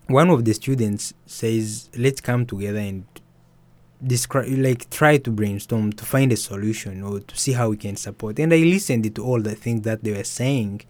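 A young man, an author and musician, telling his story softly and hesitantly in an interview. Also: fairly low pitch. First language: Swahili. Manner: soft-spoken, hesitant with restarts and fillers. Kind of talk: reflective personal storytelling.

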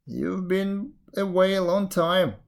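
Narrator doing a loud, impatient tiger. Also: medium pitch, takes it slowly, vocal fry, voice pushed up. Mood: menacing. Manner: growling, scornful, commanding. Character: fierce, impatient, hungry male tiger.

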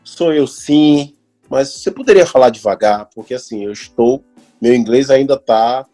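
A Brazilian man in his thirties telling stories in a casual chat, animated, laughing often and mimicking other voices. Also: mid-range voice, normal speed, soft background music. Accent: Brazilian Portuguese. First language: Portuguese. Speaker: actor working as an extra.

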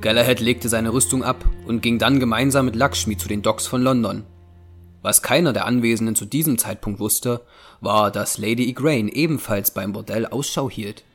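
Male audio drama narrator, medium pitch. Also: normal speed, faint music behind, lightly acted. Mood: measured, narrating. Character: measured storyteller of a dark Victorian adventure.

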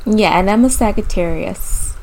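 A woman's voice: mid-range voice, moderate pace, vocal fry, rough recording. Woman, twenties, Barbadian accent, easygoing rambling chat, faint hiss behind. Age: 20s. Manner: relaxed, rambling with many "like" fillers. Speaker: podcast co-host. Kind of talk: casual chat.

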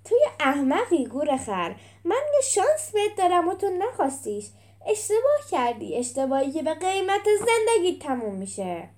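A vain Roman champion gladiator from a children's story, performed by a woman. He taunts with contempt and has a short temper. The delivery is high for a man and swoops widely. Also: sing-song, warm tone. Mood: furious. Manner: mocking, hot-tempered.

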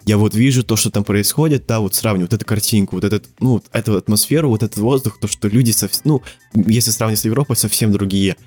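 A teenage man from Siberia talks as a podcast guest, rambling through his answers with fillers and restarts and the odd laugh. His voice sits on the low side. Faint music plays underneath. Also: fast talker, darkish tone. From Siberia, Russia. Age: teens. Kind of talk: casual podcast interview.

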